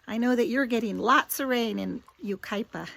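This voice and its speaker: A woman reading a story aloud to young children, with expressive, dramatic and emphatic delivery. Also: a little high, normal speed, vocal fry, dry recording.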